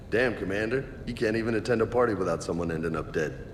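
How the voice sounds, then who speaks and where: An actor playing a jovial soldier crewmate in a video game, casual and teasing. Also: male voice, on the low side, a little fast, big-room sound, slight background noise, close to an everyday voice. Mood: amused. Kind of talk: cinematic video game dialogue.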